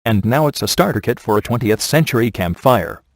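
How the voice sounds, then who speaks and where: A man's voice: mid-range voice, animated, a little fast, no room sound. A man playing a wisecracking, laid-back ghost hunter in a video game, with a dry, sardonic and glib delivery. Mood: wry, glib. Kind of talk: scripted video game character dialogue.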